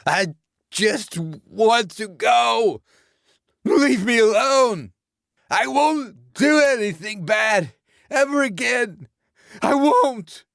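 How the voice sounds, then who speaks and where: A man's voice: sits high, very slow, vocal fry, hardly any pauses, dry recording, clean studio sound, pitched above the performer's own voice, heavily acted. A man voicing a terrified young man begging to be left alone. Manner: pleading, desperate.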